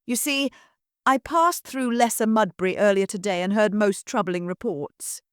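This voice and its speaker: Smooth cultured aristocrat, as a woman reads him, refined, faintly sinister. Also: medium pitch, normal speed, dry recording, clean studio sound, pitched above the performer's own voice, natural voice.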